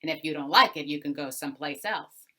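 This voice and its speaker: Woman as a male landowner, folk-tale villain, greedy, bossy, blustering, forceful, bright-edged. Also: low voice, a little fast. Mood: smug, bossy. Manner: loud, bossy, spluttering when thwarted.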